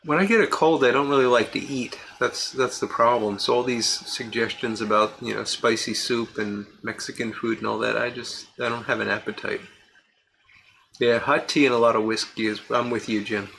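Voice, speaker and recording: A man's voice: medium pitch, steady tone, moderate pace, vocal fry, a bit bright, a little hiss or hum, poor audio. A man hosting a livestream, chatting casually and answering viewers by name. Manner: chatty, answers chat comments by name, laughs easily. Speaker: livestream host reading viewer chat. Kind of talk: casual live chat.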